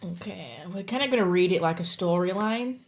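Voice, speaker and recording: A woman's voice: lowish voice, fairly quick. A young woman from the UK, a tarot reader with a sore throat and a voice that cracks at times, giving a chatty, reassuring pick-a-card reading. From United Kingdom. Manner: chatty and reassuring, says "you know" and "guys" a lot.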